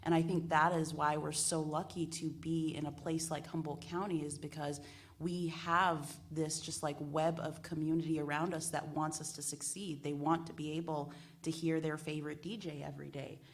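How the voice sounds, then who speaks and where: Casting: woman, panel speaker, low voice with vocal fry, earnest casual phrasing. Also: level tone, moderate pace. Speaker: radio panelist. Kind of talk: casual panel discussion talk.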